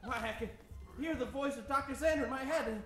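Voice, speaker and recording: A man's voice: extremely high pitch, brisk, fairly bright tone, faint music behind. A man playing an earnest, plucky young adventurer who talks himself through danger in a comic radio serial. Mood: nervous, resourceful. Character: plucky, resourceful young male adventurer hero. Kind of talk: comic old-time radio adventure acting. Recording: echoey stage recording.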